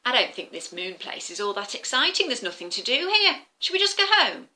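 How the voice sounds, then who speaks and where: An eager young boy bear from a storybook, acted out by a woman. The voice is bright and bouncy, swinging up and down as it muses aloud with curious enthusiasm. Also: fairly high pitch, very animated, a little fast, full character voice. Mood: bored, suggesting.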